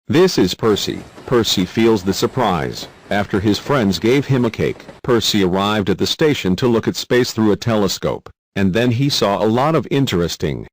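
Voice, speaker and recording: Deep-voiced, lively male narrator. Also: a little hiss or hum, low-quality recording. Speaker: narrator of a children's quiz video. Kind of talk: scripted narration.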